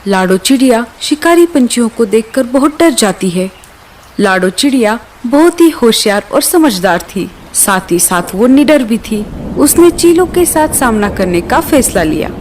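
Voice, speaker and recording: A woman's voice: fairly high pitch, sing-song, measured pace, a little mellow, a little hiss or hum, rough recording. A woman reading scripted narration for a children's cartoon story.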